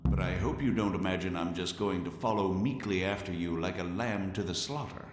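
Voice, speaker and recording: A man's voice: deep voice, brisk, close to an everyday voice. A man playing a cornered, defiant outlaw in a video game, sardonic and theatrical in his delivery. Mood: sardonic defiance. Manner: mocking, theatrical. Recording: echoey hall, music behind.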